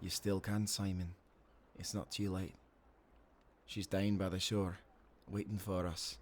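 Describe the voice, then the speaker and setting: An actor playing a tender, grieving old sailor with a Scottish accent in an audio drama. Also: male voice, deep voice, level tone, measured pace, darkish tone. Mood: somber, gentle.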